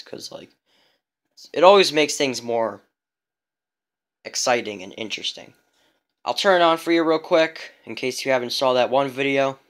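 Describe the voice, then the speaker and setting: A man giving a casual show-and-tell as a vlogger, rambling and halting as he goes. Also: mid-range voice, a bit bright. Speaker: vlogger showing a radio collection. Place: indoors in a room at home.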